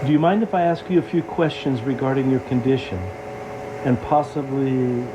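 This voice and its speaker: A man's voice: medium pitch, fairly even intonation, natural voice. A man playing a polite, hesitant interviewer questioning a patient in a found-footage horror piece, on a muffled, noisy recording. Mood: calm, probing. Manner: hesitant, courteous, measured questioning. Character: polite, probing male interviewer of a paralysed patient. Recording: muffled lo-fi tape with loud noise.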